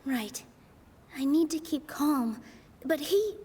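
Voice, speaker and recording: Young performer, game cutscene, high-pitched, earnest, sincere, determined, slight background noise. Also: female voice, lively intonation, takes it slowly, mellow. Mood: nervous. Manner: sincere, careful, heartfelt. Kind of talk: video game cutscene acting.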